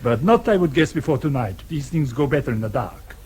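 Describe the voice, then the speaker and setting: An actor playing a grave, courteous German man in a radio drama, speaking formally and deliberately with a German accent. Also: mid-range voice, lively intonation, darkish tone, slight background noise, lightly acted. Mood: grave, confiding. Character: courteous, principled German man, grave confidant or consular clerk.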